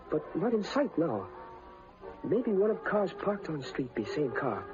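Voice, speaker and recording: A loyal foreign-born valet in a radio thriller. His voice is high and swoops around as he speaks anxious, clipped broken English. The sound is a dull vintage broadcast with music behind. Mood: worried, determined. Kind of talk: 1930s-40s radio acting.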